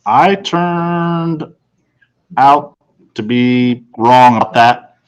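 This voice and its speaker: A man's voice: medium pitch, extremely slow, smooth, lo-fi sound. A man reading a first-person storybook narration aloud. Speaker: audiobook reader.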